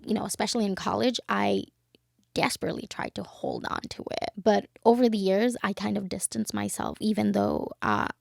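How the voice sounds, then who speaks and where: A woman's voice: mid-range voice, expressive, fairly quick, creaky, no room sound, studio quality. A young woman from Bangladesh, co-hosting a casual podcast and talking reflectively with lots of "you know". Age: young adult. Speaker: podcast co-host. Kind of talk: casual chat.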